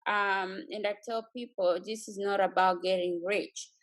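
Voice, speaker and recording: A woman's voice: mid-range voice, steady tone, a little slow, poor audio. A woman from Congo chatting earnestly and from the heart as a guest on a video call. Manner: earnest, heartfelt, with frequent fillers. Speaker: guest entrepreneur. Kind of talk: casual video chat.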